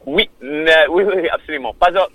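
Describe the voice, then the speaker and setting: A man, a musician guest on a radio interview, speaking haltingly, with many fillers and restarts. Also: on the high side, sharp tone. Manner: non-native French.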